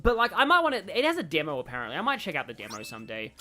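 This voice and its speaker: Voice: medium pitch, wildly swooping pitch, very fast, bright, forceful tone. A game streamer giving casual, rambling livestream commentary, chatting and reacting aloud to the game. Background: game music.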